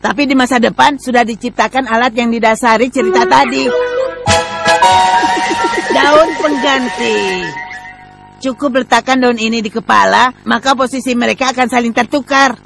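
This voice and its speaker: Creaky-voiced robot cat, acted out from a cartoon. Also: medium pitch, steady tone, moderate pace, close to an everyday voice. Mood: explaining. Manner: explaining, matter-of-fact. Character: round blue robot cat, patient explainer, childlike friend. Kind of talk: dubbed children's cartoon acting. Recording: music behind the voice.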